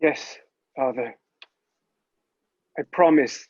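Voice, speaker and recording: A man's voice: mid-range voice, extremely slow, a little mellow, slightly rough, no room sound, muffled recording. A man playing an intense, impatient Roman emperor's son in a drama table read, with earnest, theatrical delivery. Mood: earnest, grieving.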